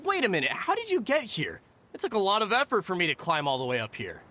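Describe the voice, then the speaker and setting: A man's voice: high voice, wildly swooping pitch, fast, pushed and bright, heavily acted. An actor playing an earnest, testy young male caretaker in a video game, fussy and articulate, with faint noise behind. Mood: indignant.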